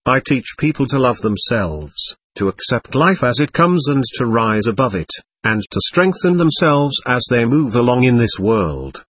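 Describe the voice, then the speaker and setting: A man voicing a gentle 65-year-old woman healer and grandmother, telling her story in a calm, earnest way. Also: medium pitch, normal speed, husky, no room sound.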